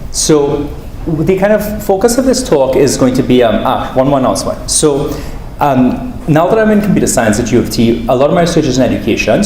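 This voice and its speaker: A man with a Trinidadian accent gives an informal academic talk as a professor. He is conversational, with asides to his listeners, at a middle pitch. The room is echoey, with a faint layer of noise. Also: a little mellow. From Trinidad and Tobago. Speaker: professor giving a guest talk. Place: room with live audience. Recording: roomy echo, faint background noise.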